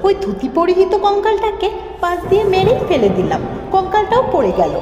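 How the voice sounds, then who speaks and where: A woman's voice: extremely high pitch, sing-song, fairly quick, a little mellow, lo-fi sound. A woman reading a scripted first-person ghost story as its narrator. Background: background music.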